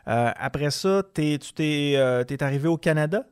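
A man's voice: a little high, moderate pace, clean tone. A man with a Québécois accent hosting an interview, asking long, winding questions with curiosity. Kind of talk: casual interview chat.

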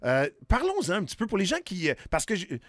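A man with a Québécois accent, hosting a casual podcast chat in a lively interviewer manner. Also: sits high, fast talker, dry recording. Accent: Québécois French. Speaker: podcast host.

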